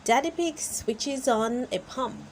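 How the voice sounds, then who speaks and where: A woman reads a picture book aloud for young children. Her voice is fairly high and swings expressively through each sentence. She takes it very slowly, with a little background noise. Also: animated, darkish tone. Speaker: storybook reader and channel host.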